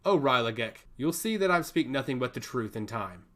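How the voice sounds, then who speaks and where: A man's voice: medium pitch, very animated, a little fast, fairly bright tone. A man voicing a smug, teasing god-touched emissary in a fantasy story, with a needling, theatrical manner. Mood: gloating. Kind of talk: fantasy audio story character.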